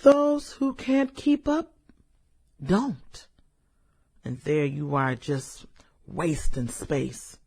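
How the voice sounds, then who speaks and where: A woman's voice: deep voice, big pitch swings, very slow, creaky, pauses often, a little mellow, slightly rough, exaggerated voice. A woman acting an arrogant, ruthless former cheerleader turned killer in a radio drama, haughty, sneering and sassy. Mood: haughty, dismissive.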